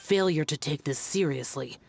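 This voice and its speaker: A woman's voice: sits low, normal speed, creaky, a little mellow. A woman playing a stern, aloof immortal master of rituals in a video game, with formal, commanding, archaic phrasing. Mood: stern, instructing. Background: faint music.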